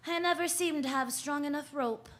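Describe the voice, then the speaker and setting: A woman's voice: sits high, moderate pace, natural voice. A woman playing a wry, flirtatious, self-assured private eye in the style of 1940s radio drama, full of slangy quips. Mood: wry narrating. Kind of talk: 1940s radio drama acting with first-person narration.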